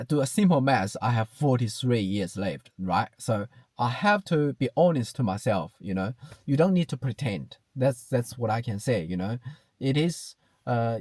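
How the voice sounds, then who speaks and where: A man's voice: mid-range voice, a little mellow, no echo. Hesitant man in his thirties, from China. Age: 30s. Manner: hesitant, many fillers, reflective. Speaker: vlogger. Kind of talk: casual self-talk ramble. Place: car.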